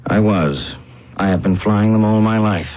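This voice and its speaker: An actor playing a proud, scheming alien space pilot in a radio drama, speaking in a guarded, formal, measured way. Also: male voice, fairly low pitch, slight background noise, natural voice. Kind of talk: old radio drama acting, narration and dialogue. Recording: muffled radio drama recording with loud music.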